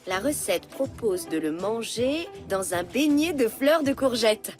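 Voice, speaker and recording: A woman voicing an encouraging village schoolmistress in a French animated series, in an instructive manner. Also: fairly high pitch, a little slow, over music.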